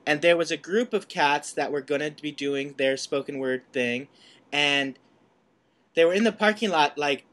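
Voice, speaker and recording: A man's voice: a little high, normal speed, fairly bright tone. A man hosting an interview, asking long rambling questions in a chatty way and laughing easily. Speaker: host. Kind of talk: casual interview chat.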